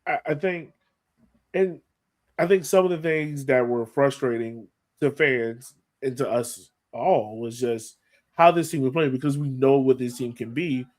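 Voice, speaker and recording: A man hosting a sports podcast, talking through a match in an animated, casual way and often checking his points with a quick "right?". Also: medium pitch. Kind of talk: casual match analysis chat.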